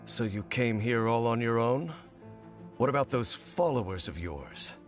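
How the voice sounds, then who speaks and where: A man's voice: mid-range voice, lively intonation, a little slow, lightly acted. A man voicing a guarded male swordsman in a video game, blunt and probing. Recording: game audio with clear music behind.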